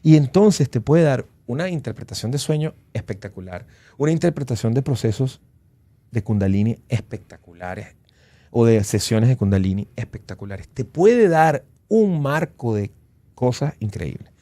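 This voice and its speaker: A man's voice: medium pitch, lively intonation, normal speed, dark tone, no room sound. A man in his forties hosting a casual podcast interview, talking in an effusive, enthusiastic way. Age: 40s. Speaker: podcast host.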